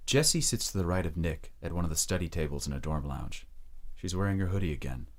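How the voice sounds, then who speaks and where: An extremely low-voiced man serves as narrator of an audio drama. He reads scripted scene descriptions in a deep bass register. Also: lively intonation, fast.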